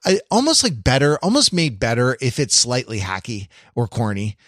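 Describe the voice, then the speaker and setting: A man co-hosting a podcast in casual analytical chat, halting and correcting himself as he thinks out loud. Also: medium pitch, huge pitch swings, dry recording, studio quality. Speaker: podcast co-host.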